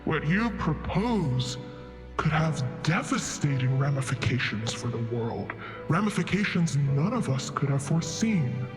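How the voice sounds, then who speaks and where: Man as a slow, solemn god in vast reverb. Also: fairly high pitch, animated. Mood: gentle warning. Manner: measured, grave, stately. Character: ancient, solemn, benevolent god weighing cosmic order. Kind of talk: fantasy audio roleplay. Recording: long reverb with music behind.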